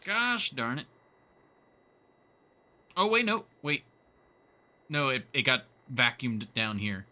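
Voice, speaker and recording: Bright-voiced man streaming a game. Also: medium pitch, sing-song, slow, pauses often. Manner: thinks aloud, talks to chat. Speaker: livestreamer playing a survival game. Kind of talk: casual gameplay commentary.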